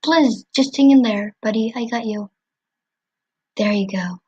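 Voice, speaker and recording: Woman playing an earnest young hero, husky and dramatic. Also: on the high side, measured pace, a little mellow. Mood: anxious, pleading. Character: dimension-hopping artist hero, anxious and caring, male. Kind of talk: dramatic fan comic dub.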